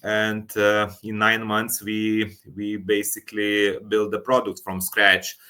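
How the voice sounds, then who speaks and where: A man from Lithuania with a Lithuanian accent, answering as an interview guest in halting non-native English with repeated words. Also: on the low side, monotone, pushed and bright, smooth. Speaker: guest, company co-founder and sleep coach.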